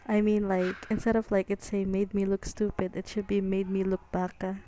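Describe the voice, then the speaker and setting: A woman's voice: medium pitch, flat delivery, normal speed, dark tone, slight background noise. A woman co-streaming a game playthrough, chatting and reacting casually.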